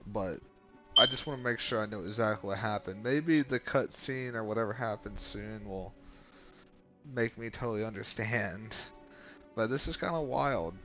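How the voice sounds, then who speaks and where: A man's voice: lowish voice, moderate pace, fairly bright tone, faint music behind, poor audio. A man giving informal, rambling gameplay commentary as he reacts to a video game story. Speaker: gaming streamer reacting to a story quest.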